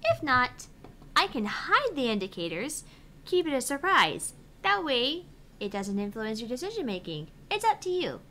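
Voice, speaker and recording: A bright, sparkly-toned female app assistant played by a woman guides a player through a visual novel game. She is chirpy, playful and encouraging, with big swoops in pitch. There is noticeable background noise. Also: wildly swooping pitch, moderate pace, full character voice. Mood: helpful, playful. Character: perky, reassuring female phone app assistant. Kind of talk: reading visual novel game dialogue aloud.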